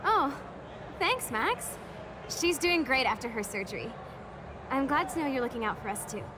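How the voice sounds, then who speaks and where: A sociable teenage party girl in a game. Her fairly high voice moves animatedly through casual, confiding talk, with clear noise behind it. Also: lively intonation, moderate pace. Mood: friendly. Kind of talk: video game cutscene dialogue.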